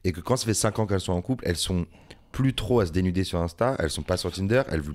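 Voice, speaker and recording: A man's voice: deep voice, fast talker, a little mellow. A man on a podcast in casual chat, assertively rephrasing the others' points.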